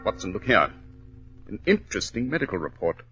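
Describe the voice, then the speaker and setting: An actor playing a shrewd, confident gentleman detective, precise and formal, in a 1940s radio drama. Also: on the low side, sing-song, fairly quick, over loud music. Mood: keen, assured. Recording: dull old radio recording.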